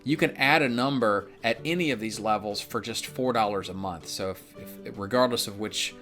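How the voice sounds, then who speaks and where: A man's voice: on the low side, normal speed, fairly bright tone, faint music behind. A man from Memphis giving a conversational instructional walkthrough in a casual, explanatory way, as a real estate instructor. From Memphis, United States. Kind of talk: conversational instructional narration of a script.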